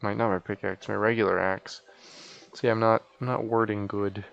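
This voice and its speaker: A man live-streams a game in a low, clean and smooth voice that often drops into fry. He sounds sleepy and chats in a loose, rambling way with folksy turns of phrase. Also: flat delivery, moderate pace, highs cut off. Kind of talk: casual chat while streaming a game.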